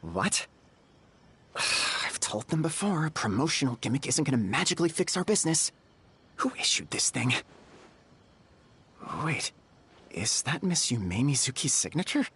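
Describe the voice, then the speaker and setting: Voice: medium pitch, sing-song, a little slow, pauses often, a bit bright, breathy edge, exaggerated voice. A voice actor playing a flustered, eager-to-please bathhouse owner in a game, nervous and overly polite. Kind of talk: game voice acting. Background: faint game music.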